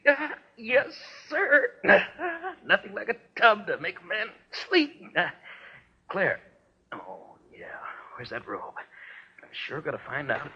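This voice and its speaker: An actor playing a tired, fussy, well-meaning American husband in 1940s radio drama, with rambling, hesitant, folksy patter. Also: male voice, high-pitched, slightly rough, exaggerated voice. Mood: chatty, fussy. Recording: dull old radio drama recording.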